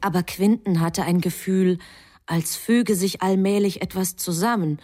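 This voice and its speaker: A woman acting as the composed storyteller of a radio play, measured and deliberate in her narration. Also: medium pitch, mellow, close to an everyday voice. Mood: measured, suspenseful. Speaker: narrator, also playing an angel.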